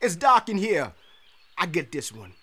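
A brash, bright-voiced biker thug. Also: male voice, high-pitched, sing-song, heavily acted. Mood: brash glee. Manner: slangy, brash, drawn-out delivery. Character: crude, gleeful male biker thug, trigger-happy follower.

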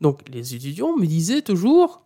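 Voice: mid-range voice, wildly swooping pitch, moderate pace, dark tone. A language teacher giving a didactic talk, speaking straight to the learner and insisting on key points by repeating them. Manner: speaks directly to the listener as "tu". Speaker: Arabic teacher.

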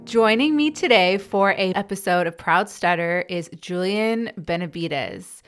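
A woman hosts a podcast conversation, stuttering now and then on the first sounds of words. Her voice sits low for a woman and comes across smooth and clear. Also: lively intonation, measured pace, soft background music. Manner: stutters, with repeated sounds and blocks. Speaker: podcast host. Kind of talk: podcast interview.

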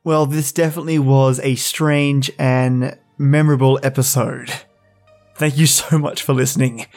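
A man hosting a podcast with casual, wry, joking commentary. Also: mid-range voice. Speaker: podcast host.

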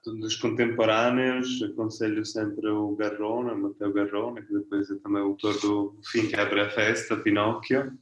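Italian-accented man, low and flat. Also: a little slow, echoey room, lo-fi sound. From Italy. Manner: relaxed, hesitant, searching for words. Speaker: festival director. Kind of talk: interview guest.

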